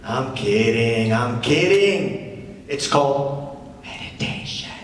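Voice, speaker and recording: A man's voice: mid-range voice, lively intonation, slow. A man acting as a cocky, defensive stand-up comedian with awkward, needling patter in a live script reading. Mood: cocky, teasing. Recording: distant echoey hall, faint noise.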